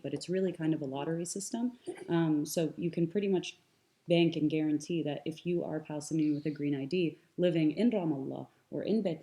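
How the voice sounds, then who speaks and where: A young American woman talking with a low, mellow voice. She speaks earnestly and thoughtfully, and often pauses on fillers and restarts her sentences. She sounds like a guest in a relaxed panel discussion. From United States. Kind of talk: conversational panel talk.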